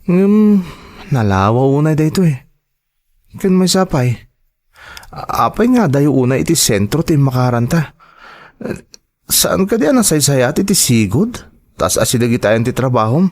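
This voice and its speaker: An actor playing a troubled, suspicious husband in an Ilocano audio drama, questioning and emphatic. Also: male voice, medium pitch, big pitch swings, a little slow, long pauses, a little mellow. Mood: puzzled, protesting.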